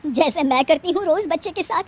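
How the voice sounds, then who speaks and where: Woman voicing a grumbling, high-voiced elderly mother-in-law. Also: a little fast, heavy background noise. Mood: reproachful. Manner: scolding, sarcastic. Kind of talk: animated family drama storytelling.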